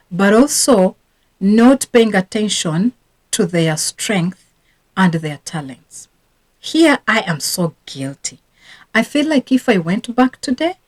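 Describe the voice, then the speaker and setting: A woman's voice: medium pitch, a little mellow. A woman from Rwanda, a teacher and parenting coach, talking casually and earnestly to camera about her own parenting regrets. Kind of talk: casual advice talk to camera.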